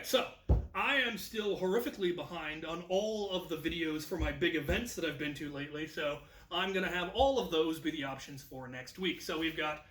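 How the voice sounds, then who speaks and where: An American man in his thirties telling a casual anecdote as a video host, in an animated manner. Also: a little high. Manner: animated, acts out quoted lines. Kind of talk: casual anecdotal storytelling to camera. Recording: echoey room, distant microphone.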